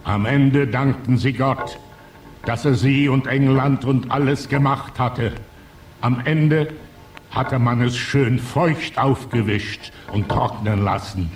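A man playing a solemn teller of a pious fable, phrasing deliberately in a poetic radio drama prologue. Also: mid-range voice, close to an everyday voice. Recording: roomy echo, faint music. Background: faint music.

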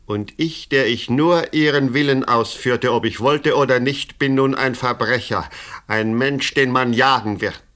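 A man acting a cornered killer, an ambitious policeman, in a radio drama. His mid-pitched voice is bright and forceful, edged with tension and bitter desperation. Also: measured pace, close to an everyday voice. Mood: bitter despair.

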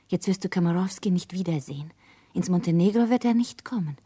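A woman remembering her youth many years on, in a radio drama. Her voice is lowish, dark and husky. She tells it earnestly and intimately. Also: lively intonation, brisk. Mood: anxious relief. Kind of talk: literary radio play recollection.

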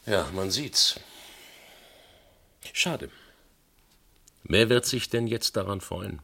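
An actor playing a dry, impatient police inspector who questions methodically, in a radio crime drama. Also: male voice, lowish voice, extremely slow, vocal fry, sharp tone, heavily acted. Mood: dry, curt.

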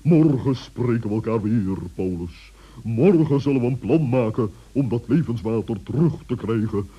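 A bossy old owl with a husky, breathy hoot. He is stern and pompous, a reproving wiseacre from an old children's radio play. The vintage recording is dull. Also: mid-range voice, animated. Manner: pompous, reproving, drawn-out emphatic speech.

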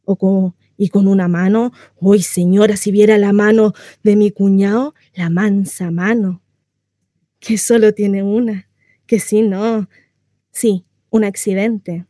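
Woman acting a chatty, naive housewife, mellow and close. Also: medium pitch, fairly even intonation, takes it slowly, no room sound, lightly acted. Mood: confiding, coy. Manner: gossipy, rambling, confiding, coyly hesitant. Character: chatty lonely housewife, naive, insistently cheerful about her confinement. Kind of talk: theatrical monologue read for radio.

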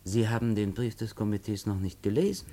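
An actor playing a cold, commanding revolutionary leader giving orders in a radio play. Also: male voice, lowish voice, mellow. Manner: measured, authoritative, cold.

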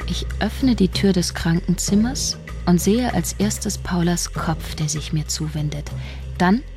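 An actress playing a scheming, bitter young writer in a radio drama, intense and sarcastic, part dialogue and part inner monologue. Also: female voice, lowish voice, expressive, a little slow, darkish tone, soft background music. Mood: brooding, tense. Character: scheming, bitter young woman soap writer narrating her intrigues. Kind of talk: radio drama acting and first-person narration.

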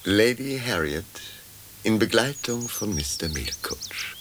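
Deferential manservant, formal and discreet, lowish but lively voice, radio drama. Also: male voice, takes it slowly, heavy background noise. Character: discreet, dutiful male butler serving an old lord. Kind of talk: German radio play acting.

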